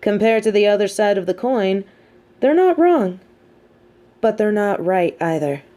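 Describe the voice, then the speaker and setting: A woman playing a sardonic, teasing supervillain with a hidden soft side, talking straight to the listener in an audio roleplay with theatrical, mocking emphasis. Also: mid-range voice, lively intonation, a little slow, close to an everyday voice. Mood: musing, lecturing. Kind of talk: scripted audio roleplay monologue spoken to the listener.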